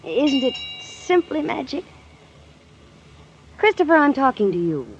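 A woman's voice: sits high, very animated, measured pace, heavily acted. A woman playing a coy, flirtatious and cunning society lady in 1940s radio drama. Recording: dull, hissy vintage radio recording.

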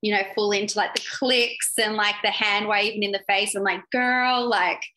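A young woman talks as a guest in a casual interview. Her voice is bright and forward, and her speech is loose and full of filler words. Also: mid-range voice, fairly even intonation, moderate pace, low-quality recording. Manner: chatty, lots of "like" fillers. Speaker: guest, yacht crew member.

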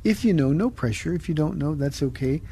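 A man's voice: a little high, animated, a little fast, warm tone, a little hiss or hum. A man hosting a radio talk show, interviewing students in a friendly, teasing way.

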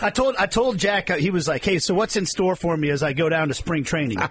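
High voiced radio cohost dude, chatty, tells stories, bit of hiss. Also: male voice, quick pace, fairly bright tone. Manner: chatty, storytelling. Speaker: radio co-host. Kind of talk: casual sports radio banter.

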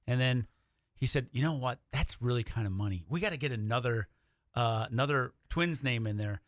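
A man's voice: mid-range voice, a little fast, no room sound, studio quality. A man speaking as a writer guest in a casual, joking, conversational radio chat.

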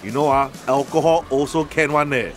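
A man's voice: mid-range voice, normal speed, soft background music, natural voice. A man playing a chatty, easygoing Singaporean drinking buddy in a scripted podcast dialogue, talking colloquially with emphatic particles at sentence ends. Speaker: voice actor reading the Singlish part. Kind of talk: scripted casual dialogue in Singlish.

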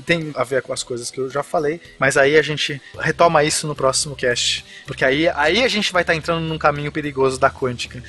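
A man explaining science on a podcast in an animated, slangy way. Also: on the high side, brisk, hardly any pauses, faint music behind. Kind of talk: casual explanation.

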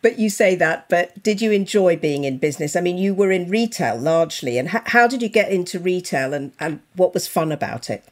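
A woman co-hosting an interview podcast, introducing the guest and asking questions in a measured, articulate way. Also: fairly low pitch, lively intonation. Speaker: podcast co-host.

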